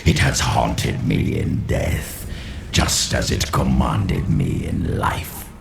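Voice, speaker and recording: A resurrected sorcerer, cunning and scornful, speaking in a very deep, gravelly voice. He drags his words slowly with sly, sweeping rises and falls. Game cutscene with music behind. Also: full character voice. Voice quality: gravelly, sneering. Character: resurrected treacherous male mage, cunning and scornful. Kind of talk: video game cutscene acting.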